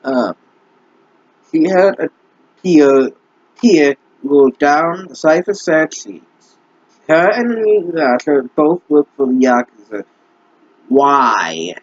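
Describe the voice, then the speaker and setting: Brief: young man, husky, slow, sarcastic and swearing, dull lo-fi close mic. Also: fairly high pitch, dry recording. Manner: sarcastic, exasperated, swears often. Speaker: fanfic reader and commentator. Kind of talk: mocking commentary on a bad story.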